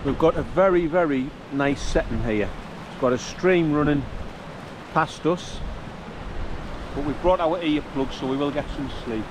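A man in his forties with a North East England accent, chatting wryly to a handheld camera on an open hillside. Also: unhurried. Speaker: camping vlogger. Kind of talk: casual outdoor vlog narration. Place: open grassy hillside valley by stream. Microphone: handheld camera at arm's length. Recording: noisy outdoors, wind and stream rumble. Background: wind and running water.

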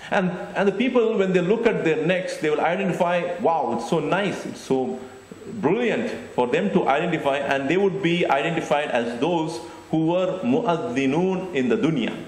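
A middle-aged man giving a deliberate, explanatory Islamic lecture into a handheld microphone, often repeating phrases. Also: fairly high pitch. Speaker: Islamic preacher. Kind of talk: religious lecture. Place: indoor stage with curtain backdrop. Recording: echoey room.

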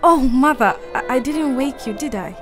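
A woman playing a frail, frightened young gentlewoman in a radio play, gentle and brave. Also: sits high, lively intonation, normal speed, music in the background, a crowd in the background. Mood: gentle, reassuring. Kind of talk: radio play acting, partly diary narration.

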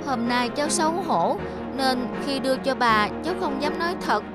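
Cartoon schoolboy voiced by a woman: high, expressive boyish voice, mischievous and easily flustered, music behind. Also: moderate pace, natural voice. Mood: ashamed. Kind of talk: children's cartoon dialogue.

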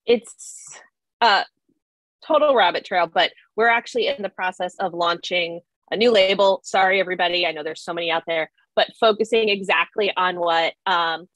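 A woman's voice: on the low side, moderate pace, fairly bright tone, no echo, low-quality recording. Woman panelist, dry close sound. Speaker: expert panelist, environmental program director. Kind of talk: casual, conversational panel talk. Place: indoor room on a video call. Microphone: video call.

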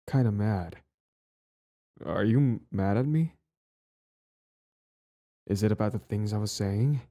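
A man plays a young male bully in an intimate audio roleplay. His voice sits fairly low and is very soft-edged and mellow. He is cocky and possessive, yet clingy and smitten with the one he talks to. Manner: stammering, swaggering, profane. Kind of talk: audio roleplay acting, addressed to the listener.

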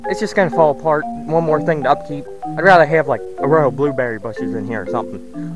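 A man's voice: a little high, rough recording. A man, giving a rambling, folksy walk-and-talk tour of his backyard farm on a camera mic, outdoors. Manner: rambling, folksy, thinks aloud. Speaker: homesteading vlogger. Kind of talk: casual walk-and-talk vlog.

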